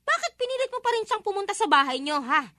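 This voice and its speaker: A woman's voice: very high-pitched, sing-song, quick pace, sharp tone, dry recording, clean studio sound, heavily acted. A woman acting as a protective, emphatic mother in a Filipino audio drama. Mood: stern, accusing. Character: protective, indignant mother of a young child.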